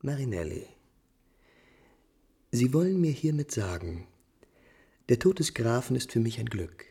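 An actor playing an imperious, anxious, quick-tempered prince in a classical German radio drama, speaking theatrically in abrupt questions and commands. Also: male voice, mid-range voice, sing-song, creaky, warm tone, husky, exaggerated voice. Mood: agitated, suspicious.

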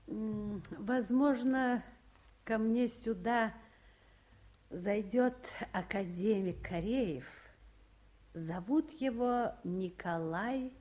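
A woman's voice: fairly high pitch, darkish tone. An actress playing a busy woman official, motherly yet commanding, in a 1950s radio play. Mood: distracted, halting. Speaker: official in charge.

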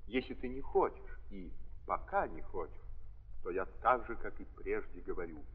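An actor playing an earnest, guilt-ridden gentleman prince in a 1930s Russian radio drama, speaking haltingly but insistently. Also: fairly low pitch, lively intonation, breathy edge. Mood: earnest, resolute. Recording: muffled old radio recording, long reverb.

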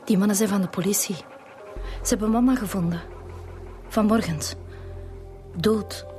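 A woman's voice: medium pitch, moderate pace, warm tone, close to an everyday voice. A young woman with a Flemish accent playing a guarded adult daughter in a naturalistic radio crime drama. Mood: subdued. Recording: music under the dialogue.

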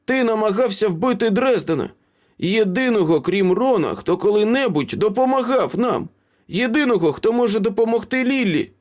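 A man's voice: very high-pitched, animated, slow, pitched above the performer's own voice, exaggerated voice. A man voicing an earnest, reproachful young male friend in a storybook, indignant and pleading.

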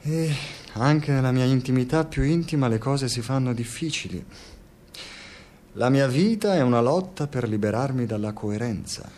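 Actor as a rambling, theatrical older man, very animated. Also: mid-range voice, normal speed, close to an everyday voice. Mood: musing, confiding. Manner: rambling, theatrical, self-satisfied storyteller with hesitations. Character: talkative, self-absorbed older man, a client of young men. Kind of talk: radio drama acting.

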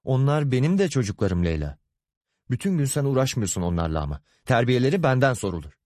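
Man playing a hot-tempered husband, animated pitch. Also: brisk, no echo, clean studio sound, lightly acted. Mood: stern, angry. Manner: stern, warning, gritted-teeth indignation. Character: hot-tempered, proud, exasperated husband and father.

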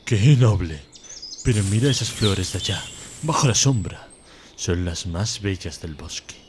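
Man playing a sly, low-voiced storybook wolf. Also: unhurried, background noise. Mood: sly, flattering. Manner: falsely courteous, flattering, insinuating. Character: sly predatory male wolf, smooth-talking and menacing. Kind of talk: audio fairy-tale drama acting.

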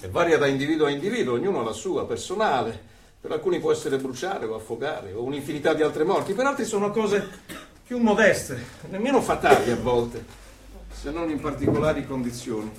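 A roomy, distant recording with some hiss carries a cold regime torturer. His voice sits fairly high and he orates with pompous, deliberate menace in a dystopian stage drama. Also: big pitch swings. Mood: calm, sadistic. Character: cold sadistic male torturer of a totalitarian regime. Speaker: stage actor. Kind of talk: theatrical dystopian monologue.

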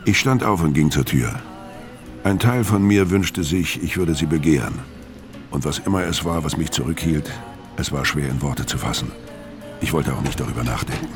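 A man's voice: a bass voice, moderate pace, over music. A man acting a world-weary, hard-boiled criminal who tells his story tersely in a crime radio drama. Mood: brooding. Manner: terse, laconic. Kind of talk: first-person crime radio drama narration and dialogue.

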